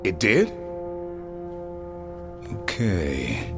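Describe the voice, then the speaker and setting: A wry, good-natured creature hero from a video game. The voice sits low but moves in a lively way, with an easygoing, dry delivery. Music plays clearly underneath. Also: male voice, very slow, exaggerated voice. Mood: skeptical. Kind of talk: video game cutscene acting.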